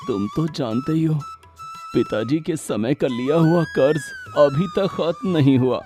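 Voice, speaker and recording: Slow, troubled poor farmer played by a man. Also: fairly high pitch, huge pitch swings, dark tone, soft background music. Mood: weary, worried. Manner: hesitant, humble. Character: simple, worried, poor middle-aged village farmer, timid. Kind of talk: animated Hindi moral story dialogue.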